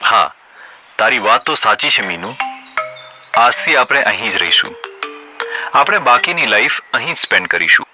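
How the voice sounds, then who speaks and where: A man voicing a gentle, trusting male goat in a cartoon, friendly in manner. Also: mid-range voice, expressive, moderate pace, pauses often, lightly acted. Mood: affectionate. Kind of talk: cartoon voice acting. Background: background music.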